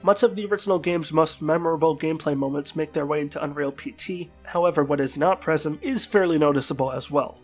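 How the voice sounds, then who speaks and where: A man with a congested, flu-struck voice reads a scripted video game review as the channel's host. His pitch sits a little high and his phrasing is formal and wordy. Faint music plays behind him. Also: quick pace, hardly any pauses. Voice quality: stuffed-up, congested. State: sick with a flu-like bug.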